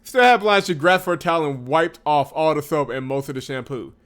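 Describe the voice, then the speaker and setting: Expressive man doing casual let's-play commentary. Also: on the high side, brisk. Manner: chatty, expressive, wry asides, laughs through speech. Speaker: host of a visual novel playthrough channel.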